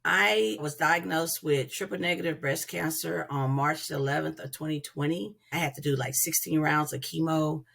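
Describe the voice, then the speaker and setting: A woman in her fifties speaking as a patient, quick and emphatic. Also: sits low, creaky, fairly bright tone, husky. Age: 50s. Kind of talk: interview testimony.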